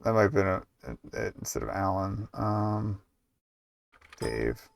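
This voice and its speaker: A man's voice: lowish voice, big pitch swings, a little slow, vocal fry. A man giving casual live game commentary as a streamer, rambling and hesitant, with muttered asides.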